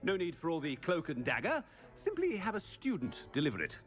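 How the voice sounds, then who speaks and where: A pompous schoolmaster in a fantasy game. His voice sits fairly high for a man and leaps dramatically up and down. He sounds imperious and theatrical, like an impostor relishing authority. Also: wildly swooping pitch, a little fast, soft background music. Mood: haughty. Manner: pompous, theatrically imperious, hesitant when caught off guard. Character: student magically disguised as stern pompous headmaster, flustered then haughty. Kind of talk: video game voice acting.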